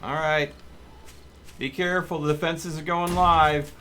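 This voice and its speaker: A man's voice: on the high side, little pitch movement, unhurried, sharp tone. Man chatting through live game commentary. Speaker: streamer hosting a multiplayer game. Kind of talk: casual live gameplay commentary. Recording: faint background noise.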